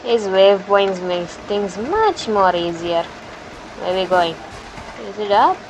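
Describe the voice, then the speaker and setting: A woman's voice: medium pitch, animated, slow pace, background noise. A woman giving casual let's-play commentary as a gaming YouTuber. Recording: game music behind voice. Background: video game music.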